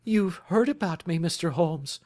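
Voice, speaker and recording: A man's voice: fairly high pitch, expressive, moderate pace, darkish tone, voice pushed up, close to an everyday voice. A man voicing a nervous, earnest young woman in an audiobook, talking in a pleading, breathless way. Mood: startled. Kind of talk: audiobook character reading.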